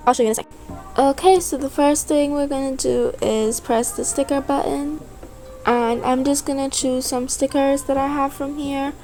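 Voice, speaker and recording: A woman's voice: a little high, a little mellow. A woman giving a casual, improvised step-by-step tutorial as a voice-over. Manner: informal, improvised explaining. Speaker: video creator giving a tutorial. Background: music.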